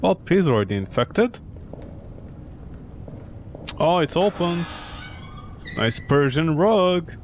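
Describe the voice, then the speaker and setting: A man's voice: a little high, sing-song, takes it slowly, long pauses, a little hiss or hum. A man giving casual, sarcastic and incredulous commentary as he streams a video game.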